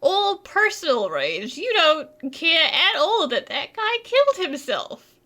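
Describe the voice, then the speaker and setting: A woman's voice: high voice, big pitch swings, slow pace, pushed and bright. A woman giving casual commentary while playing through a video game. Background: faint game music.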